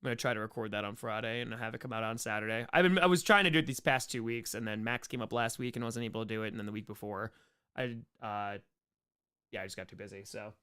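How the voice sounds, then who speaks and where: A man's voice: fairly low pitch, fairly even intonation, fast talker, sharp tone, clean tone. A man hosting a casual livestream Q&A, joking and repeating short phrases as he goes. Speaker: streamer hosting a live Q&A.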